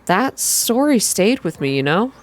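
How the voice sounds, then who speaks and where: Woman playing a curious, unsettled young heroine, raised pitch. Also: very animated, measured pace. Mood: troubled.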